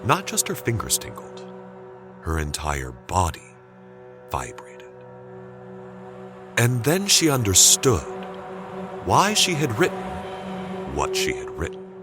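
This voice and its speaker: A man narrating a scripted dramatic story deliberately, with suspenseful pauses. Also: fairly low pitch, huge pitch swings, over music.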